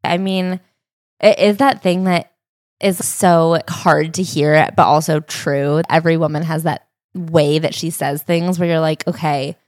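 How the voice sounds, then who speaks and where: A woman's voice: deep voice, fairly even intonation, unhurried, a little mellow, dry recording, clean studio sound. A woman co-hosting a casual book-discussion podcast, sharing thoughtful opinions in a conversational way. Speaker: podcast co-host.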